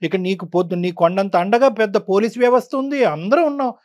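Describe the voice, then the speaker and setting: A woman giving forceful, exhorting advice as a counsellor on a talk show. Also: medium pitch, fast talker. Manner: emphatic, exhorting.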